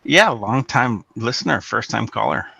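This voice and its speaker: A man calling in over a video call, talking haltingly with lots of fillers. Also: medium pitch, lively intonation, gravelly, sharp tone, husky, no echo, lo-fi sound. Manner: halting, hesitant, many fillers. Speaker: caller. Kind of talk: casual call-in chat.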